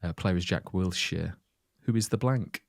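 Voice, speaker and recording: A man hosting a football quiz on a casual podcast, reading out clues in steady lists. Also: sits low, normal speed, gravelly, no echo. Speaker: quiz host.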